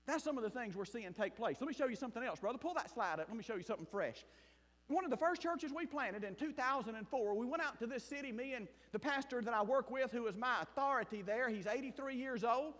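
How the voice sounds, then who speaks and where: A man from South Mississippi with a Southern accent, preaching a sermon and telling his own story as a missionary pastor, shifting between loud bursts and hushed asides. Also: very high voice, animated, quick pace. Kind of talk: sermon with personal testimony. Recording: long hall reverb.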